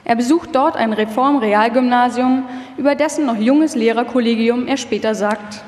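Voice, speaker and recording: Female narrator, fairly high voice, live documentary script, long hall reverb. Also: moderate pace, darkish tone.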